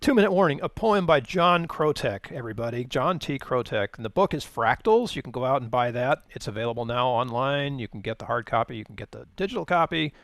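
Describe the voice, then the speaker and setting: A man's voice: medium pitch, lively intonation, a little fast, hardly any pauses, fairly bright tone, dry recording. An American man co-hosting a radio show in a laid-back, colloquial, conversational way. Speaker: co-host and musician. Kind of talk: casual supportive co-host chat.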